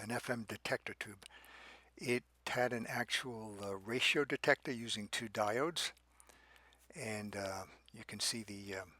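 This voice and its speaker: A man narrating an unscripted how-to about a repair, speaking methodically and haltingly in short phrases with pauses between them. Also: mid-range voice, bright, forceful tone, dry recording. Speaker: radio restorer and presenter.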